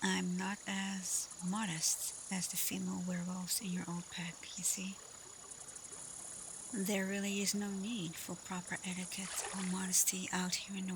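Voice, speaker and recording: A woman playing a caring, teasing female alpha werewolf in an intimate, softly spoken ASMR roleplay. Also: mid-range voice, noisy background, close to an everyday voice. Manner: playful, unhurried, soft, at times whispering. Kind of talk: ASMR roleplay, intimate acting addressed to listener.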